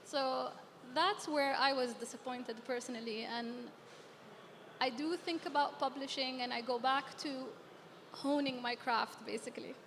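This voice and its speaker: A woman's voice: high voice, fairly even intonation, a little slow, a bit bright. A woman who writes romance, speaking in a hesitant, reflective way on a book fair panel. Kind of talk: panel discussion. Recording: clear background hum at a book fair.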